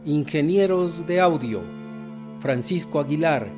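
A male radio announcer reads scripted credits in a formal announcing style. His voice sits a little high for a man. Music plays clearly behind him. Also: unhurried.

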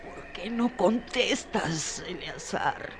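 A woman's voice: lowish voice, lively intonation, slow pace, noisy background. A woman playing a bitter, ailing, ageing woman in a radio drama, theatrical and venomous. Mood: wounded, resigned.

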